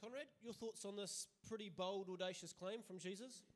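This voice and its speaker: A man's voice: high voice, fairly even intonation, moderate pace. A man hosting a panel discussion, explaining things in a hesitant, careful way. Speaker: host and moderator of a panel discussion. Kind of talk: moderating a church panel discussion. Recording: clearly hissy background noise.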